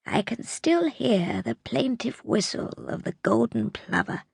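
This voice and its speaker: A woman voicing a frail, sorrowful old great-grandmother in an audiobook story, speaking softly, hesitantly and wistfully. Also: fairly high pitch, expressive, a little slow, creaky, breathy edge, no echo, studio quality, full character voice. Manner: hesitant, soft-spoken, wistful.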